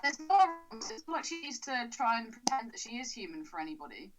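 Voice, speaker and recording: A woman's voice: a little high, sing-song, fairly quick, loud music behind the voice, poor audio. Woman poking holes on a podcast. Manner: questioning, picks apart logic, casual swearing. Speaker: podcast co-host. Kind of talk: casual film discussion.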